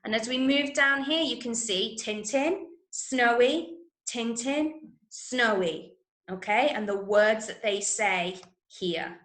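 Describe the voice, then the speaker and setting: A woman from Manchester with an English accent teaching an online workshop to school pupils in a clear, emphatic, instructive manner, often checking they understand. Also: on the high side, slow pace, fairly bright tone, reverberant. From Manchester, England. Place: indoors, online video call.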